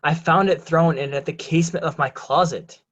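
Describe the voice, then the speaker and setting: A man playing a scheming, resentful illegitimate son in a deliberate, declamatory Shakespearean drama reading. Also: a little high, a bit bright, muffled recording. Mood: sly, deferential. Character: scheming, resentful bastard son, smooth deceiver.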